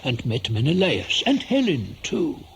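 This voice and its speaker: A man's voice: a little high, wildly swooping pitch, unhurried, creaky, slight background noise. A man narrating a scripted radio play as a dramatic, theatrical storyteller.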